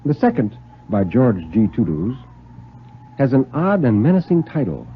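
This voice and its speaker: Old radio story guy, very animated and theatrical, careful diction, muffled vintage sound. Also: medium pitch, a little hiss or hum. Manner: theatrical, deliberate, precise diction. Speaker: dramatic reader on a radio show. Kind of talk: 1950s dramatic story reading.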